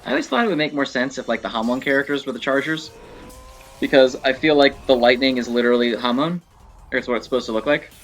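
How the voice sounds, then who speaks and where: A man's voice: mid-range voice, expressive, fast talker, a bit bright, faint music behind. A man giving casual, opinionated gameplay commentary, thinking aloud in a conversational way. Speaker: gaming video host.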